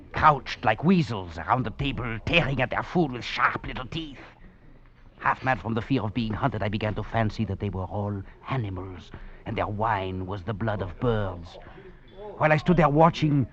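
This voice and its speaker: An actor playing a proud, half-crazed fugitive master thief, narrating theatrically in a 1940s radio drama. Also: male voice, lowish voice, lightly acted. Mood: brooding. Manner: theatrical, grandiose, brooding. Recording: dull vintage 1940s radio with music behind.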